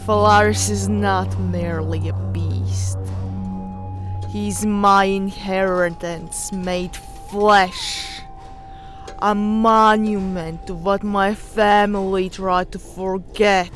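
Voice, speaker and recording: A woman playing a proud, fervent highborn noblewoman in a fantasy audio drama, speaking in a solemn, deliberate, theatrical way. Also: steady tone, soft background music, natural voice. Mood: fervent, resolute.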